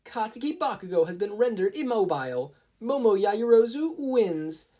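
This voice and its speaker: A commanding woman referee, as acted out by a narrator, pitched well up and projected loudly. She calls out matches with authority, as in an audiobook. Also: big pitch swings, normal speed. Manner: loud, projected announcing.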